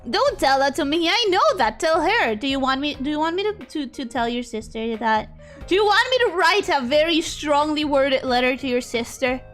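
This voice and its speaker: High, bright-voiced young woman streamer. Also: moderate pace, soft background music. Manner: expressive, swings between high squeals and low mutters. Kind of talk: casual chat reading viewer messages.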